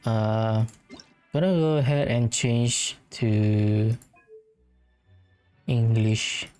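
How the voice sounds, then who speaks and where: A woman gamer commentates casually in a very low, mellow voice. The pace is slow and relaxed, with faint game music behind. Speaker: gaming video host.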